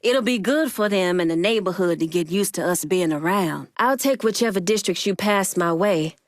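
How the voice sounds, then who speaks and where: A woman playing a commanding, wary female gang leader in a dramatic video game, speaking deliberately and sternly with folksy turns of phrase. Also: on the low side, no room sound, clean studio sound, close to an everyday voice. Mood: assertive.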